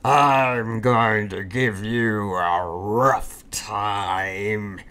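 Woman voicing a big, slow-talking storybook rhinoceros. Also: very deep voice, fairly even intonation, a bit bright, voice pushed down, heavily acted. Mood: gruff, menacing. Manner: slow, drawn-out, menacing or breathless with laughter. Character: big gruff bullying rhinoceros who giggles helplessly. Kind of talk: children's storybook character voice.

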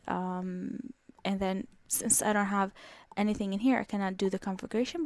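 A woman talks through a software tutorial in a relaxed, explanatory way, pausing often on ums and restarting phrases. Her voice sits in a middle range and sounds dry and close, with no room around it. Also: medium pitch, dry recording. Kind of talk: screen-recorded software walkthrough.

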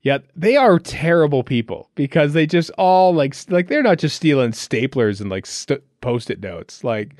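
A man's voice: fairly high pitch, expressive, normal speed, hardly any pauses, a little mellow, dry recording, clean studio sound. A man hosting a podcast, chatting casually and wryly as he comments on a TV show. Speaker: podcast host. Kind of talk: casual chat, TV episode commentary.

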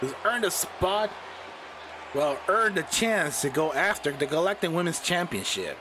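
Hoarse, giggly male wrestling announcer. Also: fairly high pitch, big pitch swings, measured pace, background noise. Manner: giggly, chatty, jokes with chat. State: losing his voice. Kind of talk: playful wrestling ring announcing and commentary.

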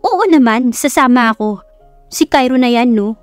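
A woman's voice: a little high, normal speed, no echo, soft background music, natural voice. Woman acting a bold, lovestruck young Filipina. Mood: eager. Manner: breezy Taglish with English phrases.

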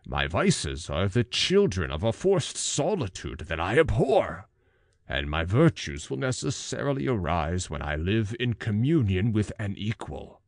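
A menacing, tormented male monster from a gothic storybook. He speaks very slowly and low, with grand, bitter, pleading oratory. The sound is close and dry. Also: sing-song, no echo. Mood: bitter, demanding. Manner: slow, grandly emphatic, oratorical. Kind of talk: gothic audiobook character reading.

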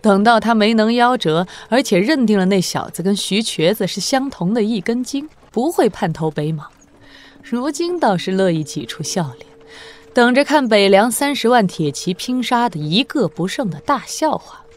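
An actress playing a shrewd, worldly, imperious woman sovereign in a martial-arts audio drama, with deliberate, sardonic delivery. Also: medium pitch, expressive, natural voice. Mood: scornful, shrewd. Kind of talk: Chinese wuxia audio drama acting.

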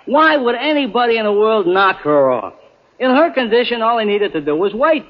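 A man's voice: high voice, very animated, fairly quick. A man playing a genial, morbid morgue attendant who rambles familiarly and mutters to himself, in 1940s radio acting style. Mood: chatty, morbid. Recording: dull old 1940s radio recording.

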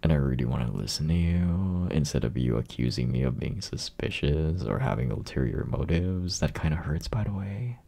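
A young man playing a clingy, dorky, doting boyfriend in an intimate ASMR roleplay, with a raspy voice and a soft, teasing manner. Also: extremely low pitch, lively intonation, exaggerated voice. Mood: attentive, affectionate. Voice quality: raspy, gravelly. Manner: soft, breathy, teasing, slow and drawn out. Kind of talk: ASMR roleplay, intimate one-sided conversation.

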